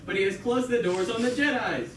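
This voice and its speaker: A man's voice: very high-pitched, fairly quick, a bit bright. A man narrating a comic puppet show in a theatrical, scripted storytelling style. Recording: echoey room, distant mic, noise.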